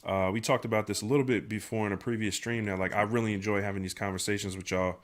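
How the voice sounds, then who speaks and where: A man's voice: on the low side, fairly even intonation, fast. A man hosting a live stream in casual chat, nasal from a sinus infection, laid-back and slangy, with lots of fillers and restarts. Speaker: livestream host.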